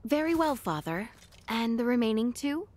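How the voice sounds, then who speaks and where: Casting: earnest game princess, fairly high voice, courteous, composed, formal diction. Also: female voice, very animated, moderate pace, a little mellow. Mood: earnest, restrained. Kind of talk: video game cutscene acting.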